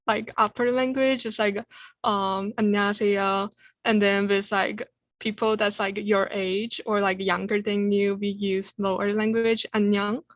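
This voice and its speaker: A young woman from South Korea, Korean her first language, speaking on a video call. Her intonation is quite flat and the sound is dry. She talks in a halting way, leaning on lots of fillers. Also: medium pitch, no echo. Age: young adult. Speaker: panelist. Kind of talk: online panel discussion.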